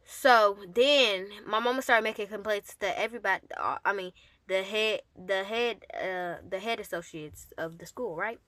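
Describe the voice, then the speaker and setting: A woman telling a personal story casually to her audience, with an animated, emphatic and sassy manner. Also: medium pitch, normal speed, no echo, clean studio sound. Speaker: storyteller and host addressing an audience.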